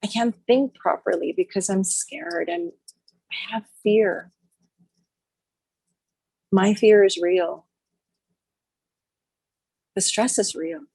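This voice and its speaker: A woman leading a guided healing meditation, speaking slowly and softly in short repeated phrases with long pauses. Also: mid-range voice, vocal fry, slightly rough, dry recording, rough recording. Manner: slow, soft, repetitive phrases with long pauses.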